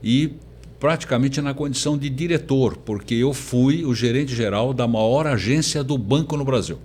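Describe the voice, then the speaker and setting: An older man, a professor, telling his story as an interview guest in a halting, conversational way. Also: medium pitch, darkish tone, husky. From born in Portugal, emigrated very young. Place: recording studio. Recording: faint background noise.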